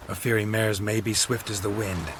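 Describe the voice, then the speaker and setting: A dry, unflappable fantasy game hunter with a very low voice, acted by a man. He talks in clipped, deadpan bits with music playing behind him. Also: moderate pace, close to an everyday voice. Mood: dry, wry. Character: laconic, world-weary monster hunter, dry and unflappable. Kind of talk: video game character acting.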